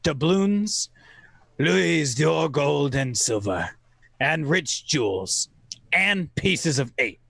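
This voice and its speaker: A man narrates in the style of old-time radio theater, wry and oratorical. His voice is gravelly and sits a touch high, with very little room around it. He places his phrases deliberately. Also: lively intonation, a bit bright, no room sound.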